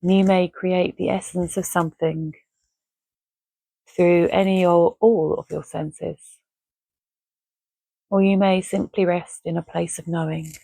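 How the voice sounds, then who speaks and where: A woman guiding a relaxation meditation in a gentle, measured, soothing way, leaving long pauses between phrases. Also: on the low side, level tone, creaky, dry recording, rough recording. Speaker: meditation guide. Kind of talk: guided Yoga Nidra relaxation, soothing and measured.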